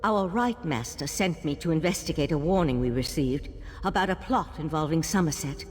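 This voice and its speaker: A woman voicing an earnest female elf mage of an ancient monastic order, explaining calmly. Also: low-pitched, moderate pace, big-room sound, slight background noise, natural voice.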